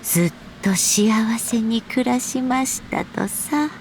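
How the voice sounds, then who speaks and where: A woman playing a gentle, wistful storybook author in a game, speaking in a measured, reflective way with breathy pauses. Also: on the high side, lively intonation, a little hiss or hum. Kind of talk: Japanese game story voice acting.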